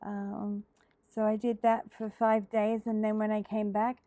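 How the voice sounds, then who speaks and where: Middle-aged Australian craft host, mellow and a little throaty from a cold, rambling casually with plenty of ums. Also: female voice, mid-range voice, monotone, a little slow, no echo. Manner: hesitant, lots of ums and uhs, chatty. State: slightly throaty from a head cold. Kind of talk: casual crafting tutorial chat.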